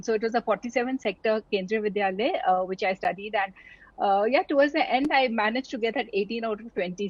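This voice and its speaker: A woman's voice: medium pitch, husky, no room sound. A middle-aged woman from India, a doctor, talking earnestly as an interview guest, with many fillers and restarts. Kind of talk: reflective interview conversation. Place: online town hall talk. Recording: dull, lo-fi audio.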